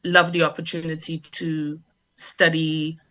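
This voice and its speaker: A woman from Grenada with a Grenadian accent, a guest telling her life story in an interview with a measured, presentational way of speaking. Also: deep voice, little pitch movement, pushed and bright. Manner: articulate, measured, presentational delivery. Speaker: guest, dancer and performer.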